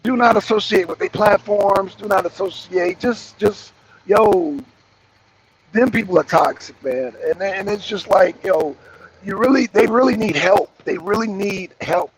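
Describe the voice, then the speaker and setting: Man, raspy, high-pitched, casual live-stream host, rambling and repetitive, faint background noise. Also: lively intonation, unhurried, rough recording. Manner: rambling, repeats phrases, greets viewers by name. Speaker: streamer hosting a wrestling show.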